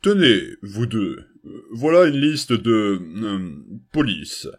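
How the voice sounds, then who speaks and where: A man voicing a patient adult male skeleton who looks after strange children, speaking softly and haltingly with lots of small pauses. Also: on the low side, sing-song, a little hiss or hum. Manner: hesitant, soft-spoken, full of small pauses and fillers. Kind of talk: fan comic dub voice acting.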